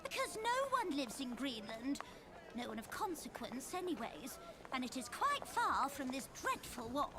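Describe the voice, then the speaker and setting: A woman's voice: high voice, big pitch swings, normal speed, background noise. An actress voicing a fussy, snobbish, doting middle-aged mother in an audio drama. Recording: clear music under the voice.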